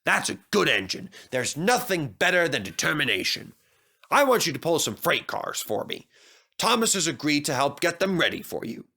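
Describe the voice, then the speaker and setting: A man voicing a stern gentleman railway controller in a children's storybook, formal and admonishing. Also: a little high, very animated, normal speed, vocal fry, breathy edge, pitched above the performer's own voice, full character voice. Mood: approving.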